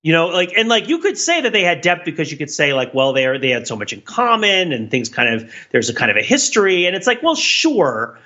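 A man speaks in a bright, forceful voice with very lively swings of pitch, through slightly muffled audio. He is a podcast co-host chatting casually, earnest and analytical, revising his wording as he goes. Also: fairly quick, hardly any pauses. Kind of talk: casual conversational podcast discussion.

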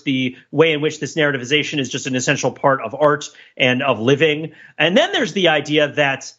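A man's voice: on the high side, sharp tone, highs cut off. A man hosting a casual podcast discussion, thinking aloud in a rambling, playfully analytical way. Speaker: podcast host.

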